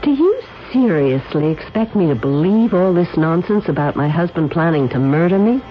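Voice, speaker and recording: An actress playing a haughty, skeptical wronged wife with a theatrical manner in a radio drama. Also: female voice, lowish voice, lively intonation, normal speed, close to an everyday voice. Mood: scornful. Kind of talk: 1970s radio drama acting. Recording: dull, hissy old radio recording.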